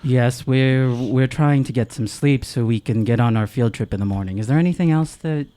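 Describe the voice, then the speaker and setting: A man's voice: mid-range voice, normal speed, dark tone, dry recording, natural voice. A man playing an authoritative, stiffly official teacher in a tabletop fantasy game. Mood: insistent. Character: authoritative teacher archon covering for students.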